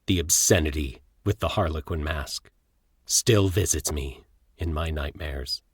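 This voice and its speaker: A man narrating scripted horror stories as a podcast host, in a theatrical, measured storyteller's manner. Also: rumbling low, breathy edge, dry recording, clean studio sound.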